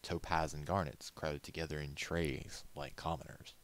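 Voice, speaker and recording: A man reading a story aloud as scripted narration, in a measured, literary storytelling manner. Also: extremely low pitch, level tone. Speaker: amateur audiobook reader.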